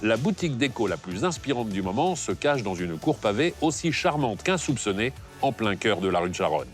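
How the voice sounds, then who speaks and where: A man's voice: fairly low pitch, very animated, brisk, soft background music. A male television presenter doing a chatty, playful on-location interview in a shop. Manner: chatty, playful, leading questions. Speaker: TV home-decor show presenter. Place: indoor decor shop.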